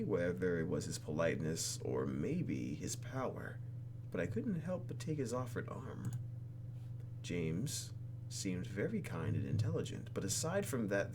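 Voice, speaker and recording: A man's voice: deep voice, background noise. A man doing playful, exclamatory gameplay commentary as he reads a visual novel aloud, with faint music behind him. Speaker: let's play host.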